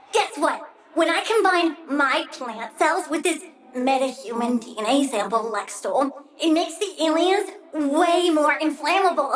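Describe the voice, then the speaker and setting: Super high girly plant villain kid for a video game, bratty n sing-songy, slow and menacing. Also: fairly bright tone, recorded from a distance, full character voice, poor audio. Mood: gleeful, eager. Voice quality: girlish, childlike. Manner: bratty, sing-song, swinging from cutesy to threatening. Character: childlike plant-powered villainess, petulant, gleefully menacing girl. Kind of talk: video game character voice lines.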